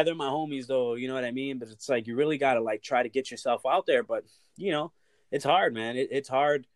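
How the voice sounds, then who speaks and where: Man, mid pitch, rambling casual talk, dry close sound. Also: no echo. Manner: rambling, repeats "you know what I mean" and "like". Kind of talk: casual conversation.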